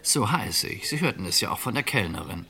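A man's voice: fairly low pitch, animated, a little fast, vocal fry, bright, forceful tone, a little hiss or hum, heavily acted. A man playing a cornered but composed office worker in a radio crime play, controlled, formal and courteous. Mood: guarded, denying.

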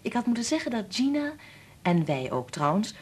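An actress playing a warm, frank wife and mother in a Dutch radio play, talking in a soothing, confiding way. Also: on the high side, big pitch swings, normal speed, husky. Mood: soothing, affectionate.

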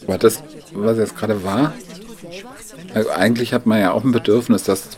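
A man's voice: on the low side, fairly even intonation, fairly quick, a little mellow, close to an everyday voice. An actor playing a reflective man in a naturalistic conversational radio drama, speaking tentatively.

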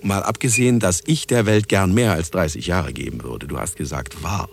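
An actor playing an aging, literate Nobel laureate, wry and doubting, in a radio drama. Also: male voice, low-pitched, normal speed, creaky, slight background noise. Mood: sceptical, wounded. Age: older.

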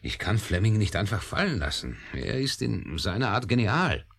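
A man's voice: fairly low pitch, sing-song, moderate pace, gravelly, fairly bright tone, husky, full character voice. A man playing a flustered, irritable, anxious professor in a German radio drama, fretful and exclamatory. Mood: resigned.

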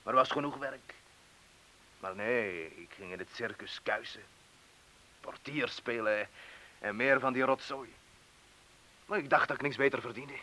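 An actor playing a rough, boastful yet fearful former miner in a radio play, talking gruffly and colloquially and prodding his listener. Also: mid-range voice, a little slow, pauses often, sharp tone, low-quality recording. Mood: weary confession. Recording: hissy old radio play recording.

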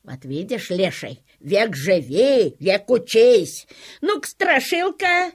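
A woman's voice: mid-range voice, very animated, takes it slowly, breathy edge, full character voice. An actress playing a bossy, sly old fairy-tale witch with a folksy, coaxing, commanding way of talking, in an audio play. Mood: teasing, sly. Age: older.

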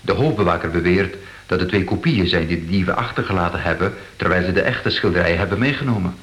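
An actor playing a composed exhibition official in a radio play, with a measured, formal manner. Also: male voice, low voice, fairly bright tone, reverberant. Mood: grave, puzzled.

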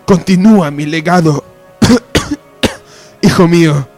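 A man plays an old father on his deathbed in a radio soap. The voice is fairly high for a man and slow, weak and broken by coughing. He is solemn and halting. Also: darkish tone, soft background music. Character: dying old father, head of a family business. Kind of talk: radio soap opera acting.

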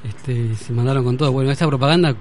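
A man in a casual conversation, reflective, often pausing on "este". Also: medium pitch, faint music behind. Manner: reflective, uses filler "este".

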